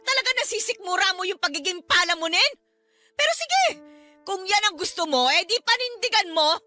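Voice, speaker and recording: A woman's voice: extremely high pitch, sing-song, a little fast, bright, forceful tone, soft background music, full character voice. An actress playing a fed-up, hard-working wife and mother in a Filipino radio drama, scolding indignantly with a Filipino accent. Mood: angry scorn.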